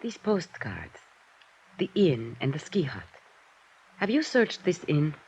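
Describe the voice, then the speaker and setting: A woman acting as an earnest, inquisitive helper in an old radio crime drama, measured and thoughtful. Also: sits low, very animated, a little mellow, a little hiss or hum, full character voice. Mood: probing. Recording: dull, lo-fi old radio recording.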